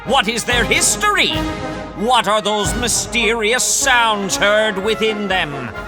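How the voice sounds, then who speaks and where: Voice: medium pitch, animated, slow, over music. An announcer reading scripted credits and promotions in a dramatic, emphatic old-time radio style.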